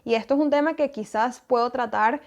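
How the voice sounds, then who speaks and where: A woman's voice: fairly high pitch, brisk. Chatty Venezuelan woman podcaster. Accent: Venezuelan Spanish. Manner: thoughtful, with many fillers and restarts. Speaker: podcast host. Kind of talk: casual solo podcast talk.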